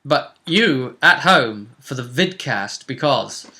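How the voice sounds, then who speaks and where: Lively, bright-voiced man chatting about games. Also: medium pitch, slow pace. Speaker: host and game master of a tabletop role-play vidcast. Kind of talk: casual post-game chat.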